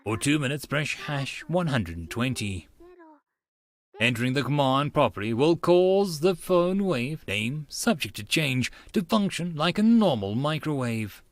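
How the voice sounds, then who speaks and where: Visual novel girl, male narrator acting, bright-tempered phone guidance, lilting, lively pitch swings, dry sound. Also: measured pace, dry recording. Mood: cheerful, instructional. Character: cheerful young anime girl recording a phone guidance message.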